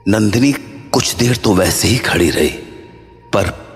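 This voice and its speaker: Male narrator, lowish voice, scripted horror storytelling, long reverberant tail, faint music behind. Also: animated, takes it slowly. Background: background music.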